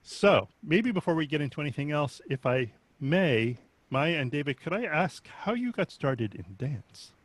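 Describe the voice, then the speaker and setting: A man's voice: on the high side, animated, normal speed, no room sound. A man hosting a casual interview, introducing his guests and asking polite questions. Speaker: host.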